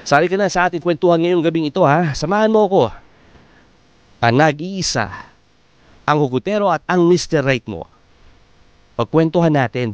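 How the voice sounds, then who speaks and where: A Filipino man hosts radio talk with a clear, resonant voice, pitched a little high for a man. He coaxes listeners in an intimate, conversational way. The sound is close and dry. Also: darkish tone, dry recording, highs cut off. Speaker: radio host of late-night relationship advice show. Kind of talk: conversational live radio talk in Tagalog-English.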